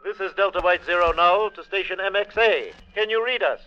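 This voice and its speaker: An alien commander from a distant world in an old radio play. His voice is fairly high, formal and clipped, with measured authority. It comes through a radio filter on a narrow, band-limited old recording. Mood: formal, official. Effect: radio transmission filter. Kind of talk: 1940s-50s radio drama acting.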